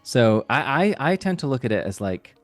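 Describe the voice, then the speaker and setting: A man speaking as a voice actor guest in a casual interview, halting and thinking aloud as he goes. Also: medium pitch, sing-song, faint music behind.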